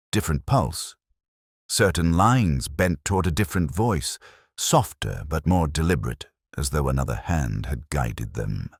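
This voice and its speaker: A man reading a scripted first-person detective story in a measured, literary, brooding manner. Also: rumbling low, slightly rough, no room sound, clean studio sound. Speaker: narrator.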